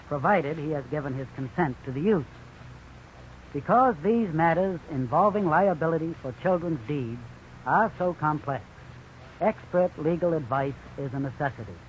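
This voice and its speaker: A man with a fairly high voice gives formal scripted legal commentary. He explains things like a dean addressing listeners, on a muffled 1950s radio recording with faint hiss. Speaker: legal counselor, law school dean.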